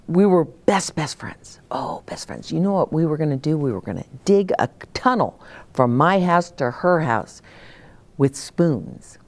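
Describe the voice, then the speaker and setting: Older woman, children's storyteller: lowish pitch, highly animated intonation, simple direct address to kids. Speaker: children's story time host. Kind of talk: storytelling and advice to young children.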